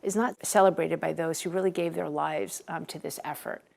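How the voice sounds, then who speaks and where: Gravelly low-voiced woman interviewee. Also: steady tone, a little fast, a little mellow. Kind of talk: news interview soundbite.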